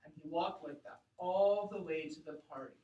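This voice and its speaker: A woman teacher reading aloud to a class and explaining deliberately and expressively, thinking out loud. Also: deep voice, lo-fi sound. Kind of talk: read-aloud lesson to a class. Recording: echoey room, distant mic.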